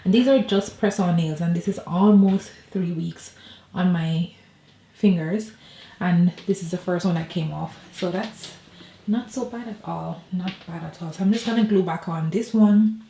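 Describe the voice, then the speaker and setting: A woman's voice: lowish voice, moderate pace, creaky, mellow, roomy sound, slight background noise. A woman vlogger chatting casually and rambling to her viewers from home. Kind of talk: casual personal vlog chat.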